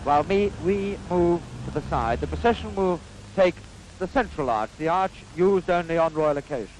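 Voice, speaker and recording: A man with a Received Pronunciation British accent giving formal, stately 1950s newsreel commentary in measured phrases, on a vintage soundtrack. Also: on the high side, animated, darkish tone, slight background noise, rough recording.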